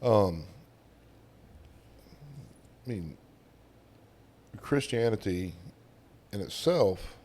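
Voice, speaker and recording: A man from Texas speaks in a low, deep voice. His Southern drawl is heavy and runs his words together. He talks casually, as a guest in a podcast conversation. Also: expressive, very slow, long pauses, darkish tone. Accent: Texan Southern. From Texas, United States. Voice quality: slurred drawl.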